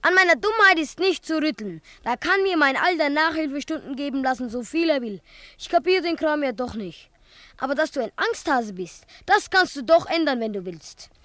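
A woman's voice: high voice, lively intonation, moderate pace, fairly bright tone, no echo. A woman playing a brash, kind-hearted schoolboy brawler in a 1950s radio play, blunt and encouraging. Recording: dry studio recording.

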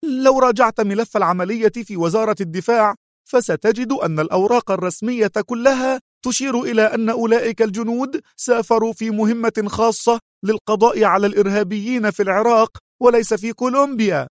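A man voicing a stern, nervy woman security adviser, with a sharp, commanding manner, in a dramatised audiobook. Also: high-pitched, quick pace, no room sound, clean studio sound, pitched above the performer's own voice, lightly acted. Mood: very tense.

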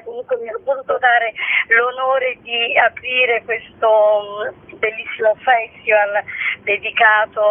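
A woman from Sicily, an actress, chatting hesitantly as a guest in a casual interview, pausing mid-phrase. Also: fairly high pitch, dry recording. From Sicily, Italy. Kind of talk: casual promotional radio interview. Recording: band-limited phone-like radio line.

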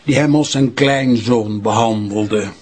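Radio play role: doddering Flemish priest, eighties, very raspy, unhurried, forgetful but sly about his wine. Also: mid-range voice, a little mellow. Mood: reminiscing. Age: 80s. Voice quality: husky, worn voice. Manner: slow, halting, repeating himself. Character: frail, forgetful, crafty 82-year-old priest who craves wine. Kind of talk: audio drama acting.

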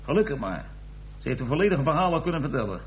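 An actor playing a confident, sardonic police detective, formal and needling, in a radio drama. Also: male voice, a little high, big pitch swings, fast talker, a little hiss or hum. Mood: sardonic, assured. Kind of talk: radio drama acting, interrogation scene.

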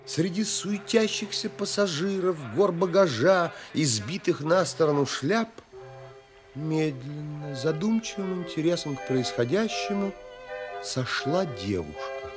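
An actor playing a wistful romantic man who narrates his longings in a measured, contemplative and expressive way, as in a literary audio play. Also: fairly high pitch, faint music behind. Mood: absorbed, reflective.

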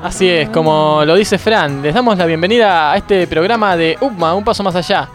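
A man's voice: high voice, normal speed, music in the background. An Argentine man with a Rioplatense accent hosting a radio show in casual, slangy studio banter. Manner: informal, slangy. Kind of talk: casual studio banter and show introductions during a demo recording. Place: radio studio with control room behind glass.

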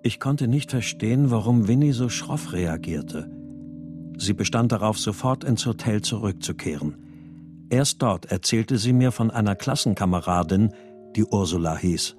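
Brooding worried husband narrating a radio thriller, lowish voice, music behind. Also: male voice, animated, a little slow, darkish tone. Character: troubled husband searching for missing wife and daughter. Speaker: lead actor and first-person narrator. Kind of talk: radio crime drama narration and dialogue.

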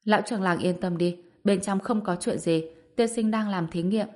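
A woman voicing a self-important male guard on duty, calm, curt and officious, in an audiobook. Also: medium pitch, normal speed, lightly acted. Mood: respectful.

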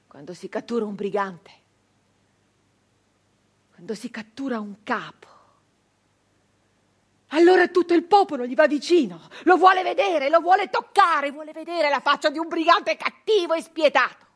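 Intense, high-voiced doomed brigand woman. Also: animated. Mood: bitter, indignant. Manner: intense, halting delivery with repeated phrases. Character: captured 19th-century brigand woman, wounded, bitter, doomed. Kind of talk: dramatic theatrical monologue.

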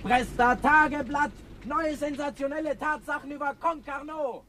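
An actress playing a young newspaper seller calling out headlines in a 1960s German radio crime drama. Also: female voice, high voice, moderate pace, a bit bright. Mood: hawking, urgent. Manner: calling out, declaiming. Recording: faint street noise behind.

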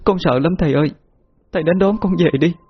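A man voicing a grieving, frightened young village woman who pleads with her father in a husky, tearful voice. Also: fairly high pitch, fast, voice pushed up, lightly acted. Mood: tearful pleading. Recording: muffled lo-fi with faint music.

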